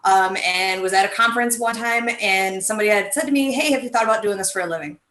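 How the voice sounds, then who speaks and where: A woman's voice: mid-range voice, fast talker, fairly bright tone, reverberant. A woman from Wisconsin introducing herself casually, chatty with frequent ums. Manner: open, chatty, hesitant fillers. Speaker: firefighter paramedic guest.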